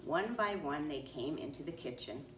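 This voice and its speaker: A woman with a low voice reads a children's story aloud. Her phrasing is deliberate and clear. She sounds slightly away from the mic in an echoing room. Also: animated. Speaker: storybook reader. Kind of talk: children's read-aloud narration. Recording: roomy, distant mic, faint noise.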